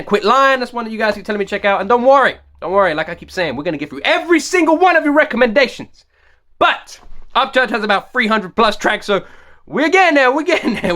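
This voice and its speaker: A man hosting a music reaction vlog, talking straight to his viewers, repeating himself and breaking into loud disbelieving shouts, his voice going hoarse. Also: sits high. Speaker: music reaction video host.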